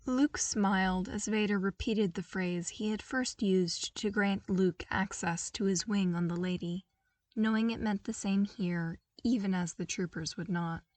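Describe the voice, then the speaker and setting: A woman's voice: mid-range voice, fairly even intonation, measured pace, darkish tone, dry recording, distant mic. A woman reading a scripted story as an audiobook narrator. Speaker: podfic narrator.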